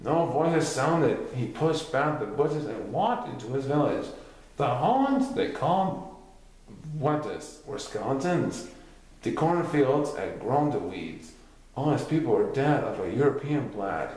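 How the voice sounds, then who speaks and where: A man's voice: mid-range voice, very animated, a little slow, a little mellow. Man reading a story in a very echoey room. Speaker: reader. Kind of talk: scripted story narration, reading aloud.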